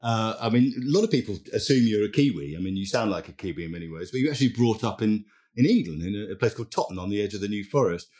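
A man hosting a podcast, introducing and interviewing his guest in a brisk, chatty manner. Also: lowish voice, lively intonation, a little mellow. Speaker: podcast host. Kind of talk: interview host chat.

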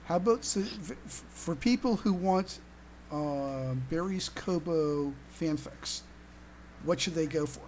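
This is a podcast host talking casually and without a script. The delivery is hesitant and halting, with phrases begun again. There is faint noise in the background. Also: medium pitch, a little mellow, low-quality recording. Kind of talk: casual, unscripted discussion.

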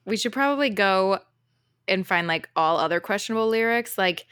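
An upbeat woman in her thirties co-hosts a podcast, giggly and enthusiastic. Her voice is mid-pitched and dry, recorded close to the mic. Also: lively intonation, moderate pace, a bit bright, clear, resonant tone, no room sound. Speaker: podcast co-host. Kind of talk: casual chat.

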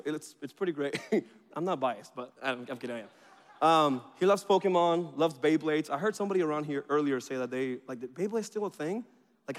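A man in his thirties from Venezuela, whose first language is Spanish, preaching in a chatty, joking way with bursts of excitement. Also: fairly high pitch. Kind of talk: informal sermon introduction. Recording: reverberant hall.

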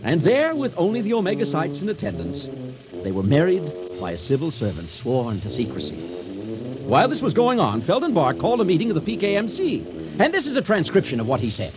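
An actor playing an earnest storyteller, narrating a radio drama in a dramatic, mock-serious manner. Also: male voice, sits high, huge pitch swings, fairly quick, over music.

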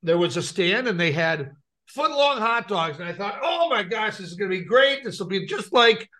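A man's voice: high voice, big pitch swings, normal speed, bright, forceful tone. A middle-aged American man telling wry, rambling anecdotes and reading light news in a casual co-host style. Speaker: podcast co-host.

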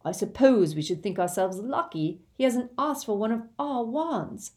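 Woman as a teenage boy, lively intonation, raised pitch, blunt, indignant, colloquial, storybook character. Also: moderate pace, lightly acted. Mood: scornful.

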